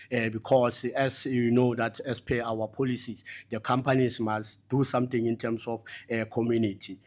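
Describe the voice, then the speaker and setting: A man giving a spoken report at a conference, very hesitant, with many fillers and broken phrases. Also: mid-range voice. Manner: very halting, heavy hesitation, repeated verbal fillers. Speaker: summit delegate reporting back for a group.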